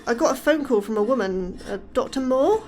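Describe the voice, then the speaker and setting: An anxious woman scientist, new to her job in a sci-fi horror podcast. Her voice sits a little high, and she sounds hesitant, wary and unsure. There is music behind her. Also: lively intonation, brisk, a little mellow. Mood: baffled, hesitant. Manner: hesitant, uncertain, questioning. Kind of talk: audio fiction podcast acting.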